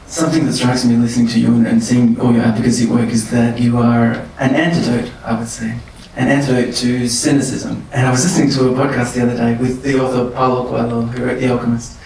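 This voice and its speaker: A man's voice: medium pitch, flat delivery, fast talker, creaky, darkish tone. A man interviewing a guest, reflective and thoughtful in his questions. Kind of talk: conversational interview. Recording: roomy and distant with faint noise.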